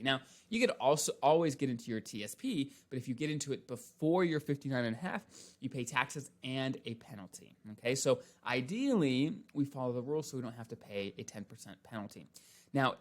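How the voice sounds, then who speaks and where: Male presenter, mid-pitched, conversational financial explainer; walks through points step by step, checking in often. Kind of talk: casual instructional explanation.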